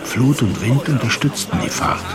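A man's voice: mid-range voice, normal speed, over a noisy crowd. A man narrating a radio play as a dramatic literary storyteller. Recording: loud music bed under the voice. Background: loud music.

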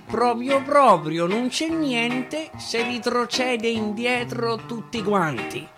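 A male stage actor with a Roman accent recites dialect verse theatrically, swinging from hushed to loud. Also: very high voice. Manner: dramatic, swinging from hushed to shouting. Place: radio studio. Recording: clear guitar music underneath. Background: plucked guitar accompaniment.

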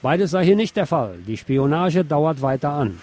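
A man's voice: fairly high pitch, animated, normal speed, a little mellow. A man hosting a news show, reading press articles aloud and adding sardonic, emphatic commentary. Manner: sardonic asides, emphatic repetition.

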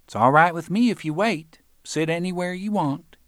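A man's voice: on the high side, normal speed, a bit bright, dry recording, studio quality, pitched above the performer's own voice, natural voice. A man putting on a hesitant older landlady. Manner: hesitant, noncommittal.

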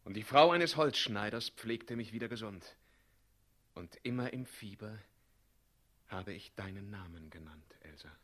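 An actor playing a noble knightly hero, grave and declamatory, in a radio play. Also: male voice, fairly low pitch, animated, unhurried, a bit bright. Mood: tender. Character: noble knightly hero, tender lover, stern avenger. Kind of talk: 1960s German radio play acting.

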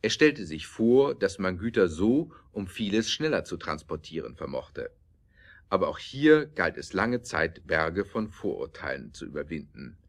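Male narrator for audio drama, low register, measured scripted storytelling. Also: sing-song.

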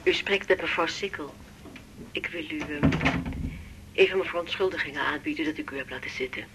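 An actress playing an ashamed, timid woman patient, apologizing in a hushed, halting way over a telephone in a radio drama. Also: low voice, slight background noise, rough recording.

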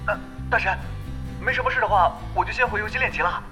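A man's voice: high voice, lively intonation, fast talker, over music. An actor playing a timid, deferential young male gamer in a radio drama, speaking hesitantly and respectfully. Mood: awkward, polite. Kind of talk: Chinese radio drama acting.

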